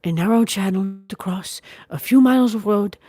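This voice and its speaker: A woman voicing a gentle, earnest young nobleman in an audiobook reading. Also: mid-range voice, normal speed, slightly rough, no room sound, clean studio sound, natural voice.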